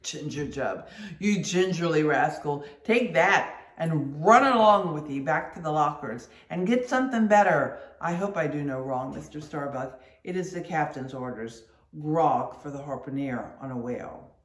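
A reader voicing a boisterous, mocking whaling mate who rants loudly in indignation. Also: mid-range voice, animated, normal speed, reverberant, natural voice. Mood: indignant. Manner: loud, mocking, indignant outbursts. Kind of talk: audiobook character reading.

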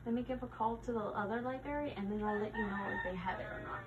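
A woman doing an ASMR roleplay as a helpful new library worker, speaking softly and hesitantly and sometimes dropping to a whisper. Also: fairly high pitch, quick pace, narrow, tinny audio, very noisy.

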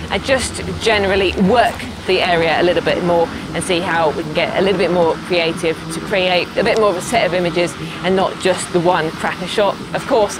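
A chatty woman in her thirties speaks to camera in a slightly low voice, upbeat and relaxed. She is outdoors on a lapel mic. Music plays clearly behind her. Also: rough recording. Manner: upbeat, chatty, gestures while talking. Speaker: travel photographer vlogger. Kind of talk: casual to-camera vlog. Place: outdoors by a roadside at dusk. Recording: background music playing.

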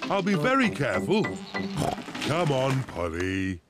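A man playing a jolly, reassuring daddy pig in a children's cartoon, with a British accent and an unhurried fatherly manner. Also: mid-range voice, huge pitch swings, music in the background.

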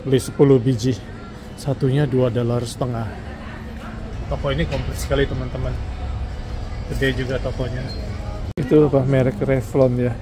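A man's voice: medium pitch, background noise. A man from Indonesia talking casually to viewers while filming a shopping trip, pointing out prices as he walks. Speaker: vlogger. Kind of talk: casual shopping vlog narration. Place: shops and street outdoors.

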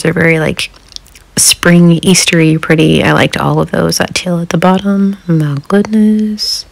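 A woman's voice: fairly low pitch, gravelly. A middle-aged woman, chatting casually in a folksy, rambling way as a craft vlogger. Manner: folksy, rambling, chuckles often. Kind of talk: casual chat.

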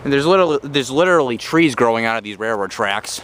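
A man's voice: mid-range voice, lively intonation, fast. A man narrating as he walks through overgrown woods, talking into a handheld camera mic with rambling restarts. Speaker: vlogger exploring abandoned railroad tracks. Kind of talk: handheld walking commentary. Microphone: handheld camera mic while walking. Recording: outdoor noise behind the voice.

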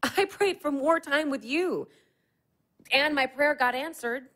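An actress playing a candid, wistful, playful young American woman in a naturalistic audio play, confiding and animated. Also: high voice, slow pace. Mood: wistful, earnest.

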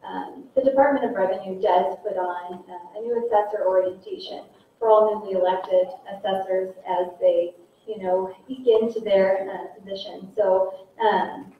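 A woman in her thirties delivers a prepared candidate statement, earnest and formal but full of small fillers. She stands with a handheld mic in a large hall, heard from a distance through heavy echo. The sound is narrow like a phone line, with faint hiss. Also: mid-range voice. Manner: earnest, formal, with frequent ums and uhs. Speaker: county assessor candidate.

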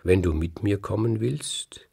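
A man voicing a cunning, demanding rooster in a folk tale, with insistent, drawn-out calls. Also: fairly low pitch, gravelly, warm tone, husky, heavily acted. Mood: coaxing.

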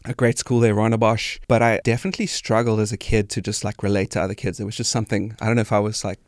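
A man's voice: lowish voice, level tone, quick pace, dry recording. A South African man in his forties, a musician guest, chatting casually and reflectively into a close studio microphone. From South Africa, grew up in Cape Town. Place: small indoor podcast studio. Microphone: close studio microphone on arm.

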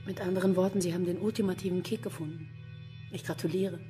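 An actress playing a sharp, unimpressed female police investigator with a dry manner in a crime radio play. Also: on the low side, steady tone, quick pace, music in the background, lightly acted. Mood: wry. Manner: dry, matter-of-fact, wry. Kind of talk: German crime radio drama acting.

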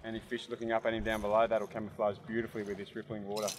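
A man, hosting a fishing show from a small open boat at sea and commentating excitedly, often breaking into shouts and laughter. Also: on the low side, very fast. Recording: loud wind, water and motor noise.

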